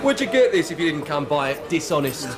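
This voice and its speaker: An actor playing a rough, suspicious bully in a radio drama, with a coarse, sneering, challenging manner. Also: male voice, fairly high pitch, very animated, a little fast.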